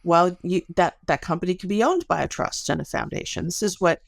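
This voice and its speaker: A woman's voice: on the low side, lively intonation, fairly quick, gravelly, no echo. A middle-aged woman speaking as an academic guest in a podcast interview, explaining things articulately through stories and analogies.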